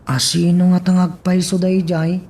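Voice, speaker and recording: A man's voice: sits high, monotone, moderate pace, a little mellow. A man with an Ilocano accent playing a puzzled, questioning character in a radio drama.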